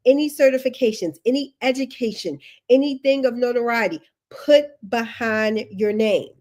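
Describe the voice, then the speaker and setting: A woman's voice: fairly high pitch, moderate pace, darkish tone. Sniffly woman giving emphatic career tips. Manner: emphatic, repeats key instructions, chatty asides. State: sniffly with allergies. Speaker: career coach. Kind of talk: livestream career advice.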